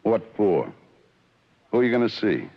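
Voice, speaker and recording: A cold, sneering hired killer from an old radio thriller, deep-voiced and curt, clipped slangy lines delivered with quiet menace. The sound is dull and lo-fi, like a vintage broadcast. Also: male voice, big pitch swings, moderate pace, creaky, full character voice. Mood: menacing. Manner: curt, menacing, slangy tough-guy talk. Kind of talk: 1940s radio drama acting.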